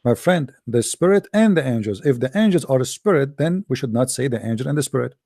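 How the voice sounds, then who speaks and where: Man, Arabic accent, rapid insistent debater, mellow dark tone, dry sound. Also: mid-range voice, animated, vocal fry, no room sound. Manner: insistent, interrupts, repeats himself. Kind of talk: heated debate.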